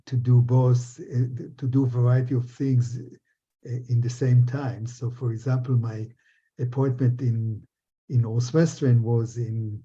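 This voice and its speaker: Halting older man, very dark husky voice. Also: mid-range voice. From Israel. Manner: halting, repeats words and restarts often. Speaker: interviewee, business professor. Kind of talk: reflective interview answers. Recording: dull, muffled lo-fi sound.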